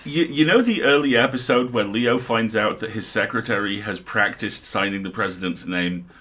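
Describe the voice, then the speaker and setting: A man's voice: on the low side, normal speed, noisy background. A man co-hosting a casual livestream conversation, wry and sweary, repeating words for emphasis. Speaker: co-host and writer. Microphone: video call.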